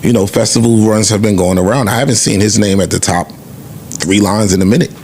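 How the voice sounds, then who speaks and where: A man's voice: lowish voice, steady tone, a little fast, a little mellow, slight background noise. A man co-hosting a podcast, talking casually and emphatically in slangy, conversational speech about the music business. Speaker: podcast co-host. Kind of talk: casual music-industry discussion.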